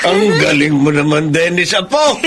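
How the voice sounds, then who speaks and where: A man's voice: on the high side, expressive, a little slow, a loud crowd. A man playing a jolly, doting old grandfather in a radio drama, affectionate and given to chuckling. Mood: delighted. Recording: clearly noisy radio drama track.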